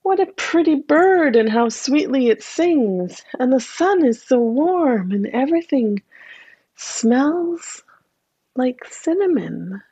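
A woman's voice: sits high, expressive, slow pace, warm tone, husky, pitched above the performer's own voice, full character voice, rough recording. A woman voicing an enchanted, dreamy father who talks in breathless wonder.

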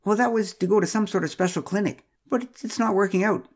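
Man doing a level-headed wife, patient, dry, slightly husky. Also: mid-range voice, fast talker, a little mellow, pitched above the performer's own voice, close to an everyday voice. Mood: explaining.

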